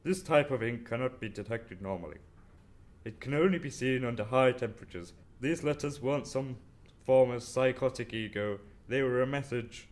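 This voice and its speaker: A man's voice: medium pitch, normal speed, close to an everyday voice. A man playing an arrogant, brilliant, easily bored detective in an audio drama, with a dismissive, clipped and condescending manner. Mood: urgent, brisk.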